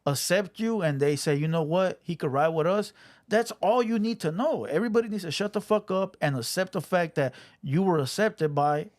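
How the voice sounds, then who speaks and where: A man hosting a podcast, talking in blunt, animated street slang, with a somewhat high voice. The sound is dry and close, with no room echo. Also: moderate pace. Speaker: podcast host. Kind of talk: casual interview chat.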